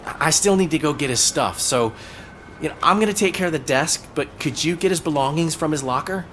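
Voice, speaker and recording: An actor playing a weary, soft-hearted male police officer in a video game, hesitant and apologetic. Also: on the high side, a little hiss or hum, lightly acted. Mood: sad, grateful. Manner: hesitant, halting.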